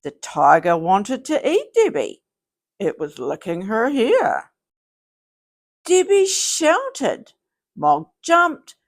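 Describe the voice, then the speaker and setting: A woman reading a children's storybook aloud in a theatrical, deliberate way. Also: fairly high pitch, wildly swooping pitch. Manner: slow, theatrical, deliberate phrasing. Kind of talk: expressive scripted storybook read-aloud.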